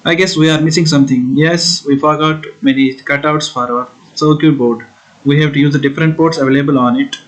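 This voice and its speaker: A man's voice: on the high side, fairly even intonation, a little fast, low-quality recording. A man narrating a hands-on tutorial casually, explaining and thinking aloud as he works. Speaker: host of a DIY electronics build video.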